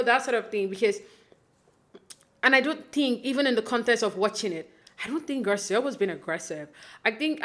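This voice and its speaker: A woman's voice: mid-range voice, pushed and bright. A young adult woman from Nigeria giving a casual, chatty recap of a reality show, full of fillers and restarts and acting out quotes.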